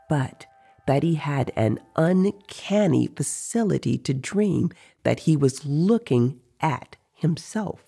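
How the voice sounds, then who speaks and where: Slow, creaky-voiced male story narrator. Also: a little high, mellow. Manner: measured, serious.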